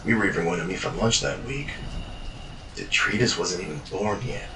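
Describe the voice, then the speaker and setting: A man with a very deep voice tells his story in a tired, world-worn way, swearing as he goes. He sits back from the mic in an echoey room. Steady ambient noise runs underneath. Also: normal speed, distant mic. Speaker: narrator. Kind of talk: first-person fiction journal narration.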